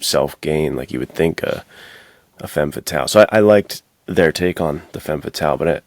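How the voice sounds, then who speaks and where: Very deep, creaky-voiced man. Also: moderate pace, no room sound, clean studio sound. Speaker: podcast co-host. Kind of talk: casual film analysis.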